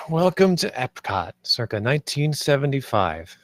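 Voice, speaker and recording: A man's voice: mid-range voice, sing-song, a little slow, a bit bright, no room sound. Man playing an earnest, idealistic park planner, dry sound. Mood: proud, eager. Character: earnest, idealistic male theme park planner named Marty, nervous, visionary. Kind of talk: table read of a screenplay.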